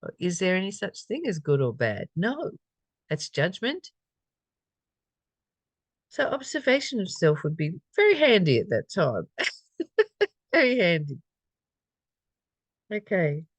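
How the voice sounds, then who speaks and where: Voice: mid-range voice, huge pitch swings, unhurried, long pauses, no echo. A person reading a spiritual study text aloud and reflecting on it conversationally, repeating phrases and posing questions. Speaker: spiritual study reader and commentator.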